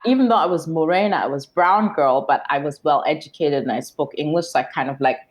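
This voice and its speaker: A woman from Germany, a guest on a podcast, telling her story in a reflective, steady way with frequent fillers. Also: low voice, brisk. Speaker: podcast guest, social scientist. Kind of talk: casual interview chat.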